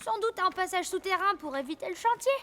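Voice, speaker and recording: A woman voicing a cheeky, bold, excitable young schoolboy in an animated cartoon. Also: very high voice, fast talker. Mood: eager. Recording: music behind the voice.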